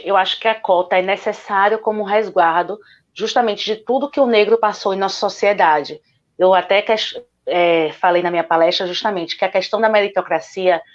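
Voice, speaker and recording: A woman with a Bahian accent answers a panel question with earnest conviction, circling back to her main point. Her voice sits in a middle range. The sound is dull and lo-fi, like audio from an online call. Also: medium pitch. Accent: Bahian Portuguese. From Salvador, Bahia, Brazil. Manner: earnest, argumentative, repeats "justamente". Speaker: guest speaker, lawyer.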